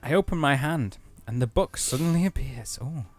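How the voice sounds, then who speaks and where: A man's voice: medium pitch, expressive, normal speed, no room sound. A man co-hosting a let's play, reading story narration aloud with casual asides.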